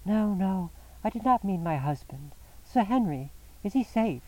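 A man's voice: high-pitched, lively intonation, a little slow, dark tone, voice pushed up, poor audio. A man reading an audiobook voices an anguished young woman held captive, speaking in a pleading, impassioned way. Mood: distraught. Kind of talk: audiobook character voice.

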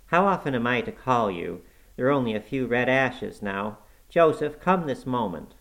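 A man's voice: mid-range voice, normal speed, voice pushed up, natural voice. A man voicing a peevish, sickly, self-pitying boy in an audiobook, with a whiny, fretful and complaining way of talking. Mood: peevish, demanding. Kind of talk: audiobook character acting.

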